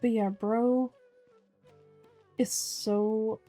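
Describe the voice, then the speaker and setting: A woman's voice: a little high, steady tone, very slow, warm tone, faint music behind. A young adult woman giving casual, slangy and playful commentary while playing a video game. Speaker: game streamer doing voice-overs.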